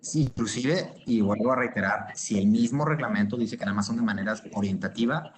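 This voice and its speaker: A man's voice: fairly low pitch, a little fast. A man with a Mexican accent giving informal legal commentary as a panelist, restarting phrases and checking in with short question tags.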